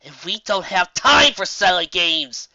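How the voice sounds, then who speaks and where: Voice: medium pitch, expressive, measured pace, pushed and bright, no echo, muffled recording, voice pushed up. A performer voicing an earnest, bossy female unicorn who gives precise, scolding instructions. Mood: stern.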